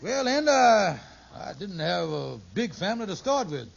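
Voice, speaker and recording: An actor playing a scheming, put-upon husband in a 1940s radio sitcom, with folksy dialect and exasperated bluster. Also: male voice, high-pitched, big pitch swings, normal speed, creaky, heavily acted. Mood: rueful storytelling. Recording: old muffled radio recording, noisy studio audience.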